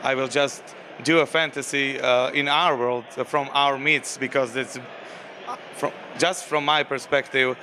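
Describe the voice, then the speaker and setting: A game designer with a Slovak accent talks in a flat, even tone. He hesitates and restarts often. Faint traffic noise sits behind him outdoors. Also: medium pitch. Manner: halting, many fillers and restarts. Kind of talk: convention interview.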